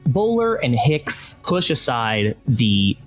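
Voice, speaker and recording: Relaxed male game master, narrates loosely with fillers, over music. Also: mid-range voice, slow.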